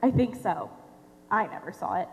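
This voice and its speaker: A woman's voice: on the low side, big pitch swings, moderate pace, creaky. A young woman on stage playing a casual, gossipy, slightly wistful passerby. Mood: chatty. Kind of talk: high school stage play acting. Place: theater stage, filmed from the audience. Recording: echoey theater stage, faint hum.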